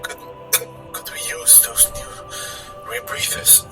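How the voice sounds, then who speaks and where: A man playing a weary, battered, grimly pragmatic survivor in tabletop roleplay, speaking haltingly in a strained voice. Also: far from the mic, loud music behind the voice, natural voice. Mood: grave, strained. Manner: halting, hesitant, repeating words.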